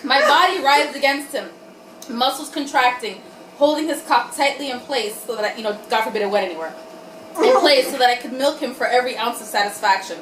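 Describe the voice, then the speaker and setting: Woman, tipsy comic reader, highish voice, irreverent delivery with asides. Roomy recording with faint noise. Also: a little fast, a bit bright. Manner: irreverent, giggly, tipsy, breaks off to comment. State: tipsy from wine. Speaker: reader and host of a comic group reading. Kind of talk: dramatic reading of erotic fiction with joking asides. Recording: roomy, distant mic, faint background noise.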